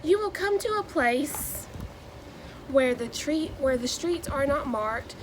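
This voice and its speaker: A young woman with a high voice does a children's read-aloud. Her pace is very unhurried and her delivery has a sing-song storybook lilt. There is faint noise behind her. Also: lively intonation. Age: 20s. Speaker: new education graduate reading a children's book.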